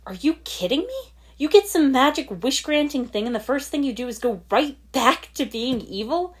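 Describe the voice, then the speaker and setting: A woman's voice: high-pitched, animated, normal speed, voice pushed up. A woman voicing an angry, hurt teenage boy ninja in a story, snapping bitingly at his father. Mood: furious, hurt. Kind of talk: fan fiction audiobook dialogue.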